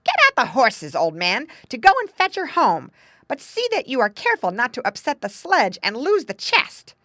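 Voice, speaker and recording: A harsh old storybook stepmother, high, loud and scolding. She bosses everyone with big swoops of pitch, recorded very dry. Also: big pitch swings, moderate pace, no room sound. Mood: bossy. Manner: shrill, bossy, scolding.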